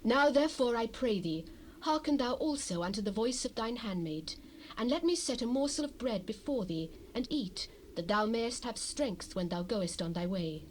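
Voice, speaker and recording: Slightly high, humble pleading handmaid, acted by a woman. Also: expressive, moderate pace, natural voice. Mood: humble, entreating. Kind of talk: dramatized Bible acting. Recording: faintly noisy 1970s cassette rip.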